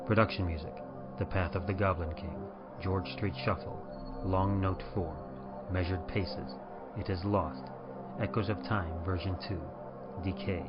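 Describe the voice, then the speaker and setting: A man playing an ominous train conductor, narrating in a measured, theatrical old-time radio horror style. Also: low-pitched, lightly acted. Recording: dull lo-fi sound under music.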